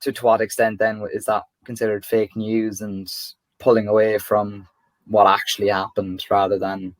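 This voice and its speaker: A man's voice: fairly low pitch, level tone, dry recording. A young Irish man co-hosting a podcast, rambling through his points with many restarts and poking fun at himself. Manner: rambling, self-mocking, halting restarts. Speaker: podcast co-host. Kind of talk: casual chat.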